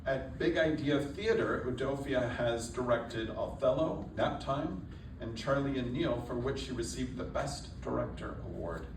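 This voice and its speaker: A man hosts a live event, reading a prepared introduction in a mid-pitched voice. The recording is echoey and distant, with clear noise around him. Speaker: event host introducing reader and author. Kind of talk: scripted live introduction, read aloud. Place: indoor stage before a live audience.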